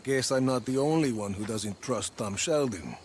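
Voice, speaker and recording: Game role: male actor as stubborn, suspicious hero, clipped blunt lines with big pitch movement. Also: expressive, moderate pace, slight background noise, lightly acted. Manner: terse, blunt. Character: gruff, distrustful male action hero, guarded and stubborn. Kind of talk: video game cutscene acting.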